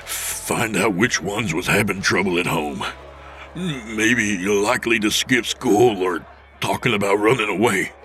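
A man's voice: lowish voice, a little slow, fairly bright tone. A man voicing a cowardly, sleazy male crook who stammers and whines. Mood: scared. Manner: stammering, whining.

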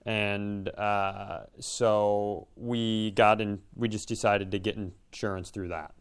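Smooth, clear-voiced American man chatting casually. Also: on the low side, little pitch movement, takes it slowly. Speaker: podcast co-host. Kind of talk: casual comedic chat.

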